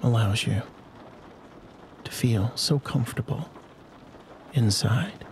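A man narrating a guided sleep hypnosis in a soothing, unhurried manner with long pauses. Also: mid-range voice, lively intonation, slight background noise. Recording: rain and thunderstorm sounds behind the voice. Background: rain and distant thunder ambience.

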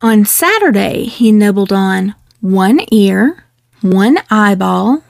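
A woman reading a picture book aloud in a measured, expressive storytelling delivery. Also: mid-range voice, gravelly, no room sound. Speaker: children's book reader. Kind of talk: scripted storybook read-aloud.

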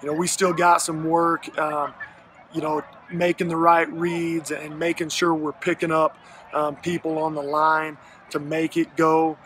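An American man coaching high school football speaks in a bright, fairly high voice. He talks haltingly and folksily, doubling back on words. Faint outdoor noise from the field sits behind him. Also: monotone. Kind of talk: postgame interview. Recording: noisy outdoor field, vehicle-like ambience.

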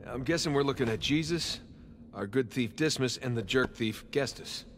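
A man's voice: medium pitch, normal speed, a little hiss or hum, lightly acted. An American actor playing a casual, wry, jaded treasure hunter adventurer in a video game, joking as he goes. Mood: wry, curious. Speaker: video game lead character. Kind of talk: cinematic video game dialogue. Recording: game music behind the voice.

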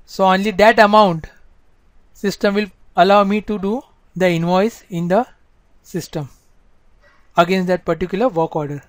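A woman giving a step-by-step software training lecture as an instructor, explaining over slides. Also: medium pitch, fairly even intonation, moderate pace, long pauses, dry recording.

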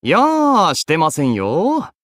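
Young game archer: roguish, wry, teasing slacker, casual drawl, animated intonation, dry studio sound. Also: male voice, a little high, slow pace, no echo, exaggerated voice. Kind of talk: Japanese mobile game character voice lines.

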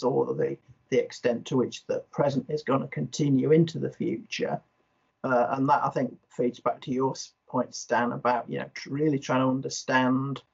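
A man's voice: mid-range voice, vocal fry, breathy edge. A man speaking as an academic in an online discussion, reasoning aloud in a hesitant, self-effacing way. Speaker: energy researcher, seminar participant. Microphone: video call. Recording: dull video call audio.

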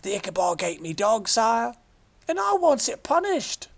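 A reader lifts their voice into a tearful old country shepherd with a rustic dialect. The pitch sits well above the reader's own and swings widely. He is simple-spoken and earnest. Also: lively intonation, measured pace, gravelly. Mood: storytelling.

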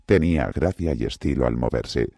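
A man narrating a novel as an audiobook, with an expressive delivery. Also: moderate pace, no echo, studio quality. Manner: very deep, gravelly and expressive. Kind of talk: scripted narration.

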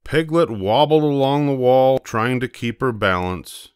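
Older man, children's storyteller, expressive and varied intonation, deliberate pauses, close dry recording. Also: medium pitch, smooth, no room sound. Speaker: storybook narrator.

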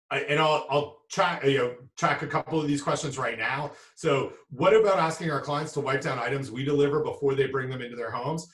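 A man in his forties answering audience questions as a food safety expert on a video call from a home office, halting and restarting often. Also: mid-range voice, a bit bright. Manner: halting, many restarts and fillers. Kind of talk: live webinar Q&A. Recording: roomy video call audio, distant mic.

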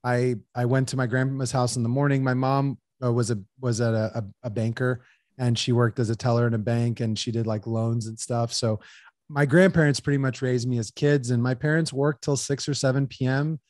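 A man's voice: mid-range voice, a little fast, dry recording. Casting: thirties male guest, loose filler-heavy rambling, dry close-miked studio sound. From United States. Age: 30s. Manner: rambling, filler-heavy, animated hand gestures. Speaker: guest entrepreneur. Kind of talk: casual interview talk. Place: small room indoors. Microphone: headset with boom studio mic.

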